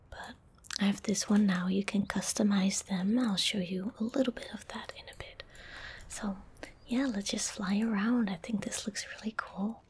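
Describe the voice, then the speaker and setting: A young woman speaking in a gentle, hushed ASMR whisper, breathy and close to the microphone. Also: mid-range voice, a little hiss or hum. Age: young adult. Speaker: ASMR video creator. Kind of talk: soft-spoken ASMR. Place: small indoor room at home. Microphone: close desk microphone.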